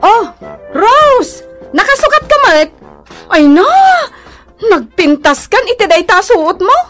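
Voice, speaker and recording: A woman playing a poor, envious wife and mother in a radio comedy drama, plaintive and expressive, with an Ilocano accent. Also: very high voice, takes it slowly, faint music behind, full character voice. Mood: admiring, envious.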